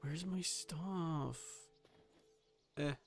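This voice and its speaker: A man's voice: fairly high pitch, drawn-out words, slight background noise. A man giving casual live commentary as a game streamer, muttering short, casually profane reactions.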